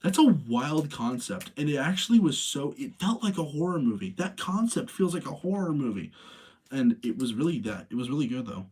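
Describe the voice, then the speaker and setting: A man hosting a livestream, talking casually in an informal, rambling, slangy way with a husky voice. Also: mid-range voice, big pitch swings, fairly quick, darkish tone. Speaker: livestream host. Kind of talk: casual livestream chat and commentary.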